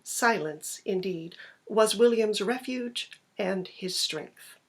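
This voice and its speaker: A woman's voice: mid-range voice, slow, husky. A woman reading a classic short story aloud as scripted narration.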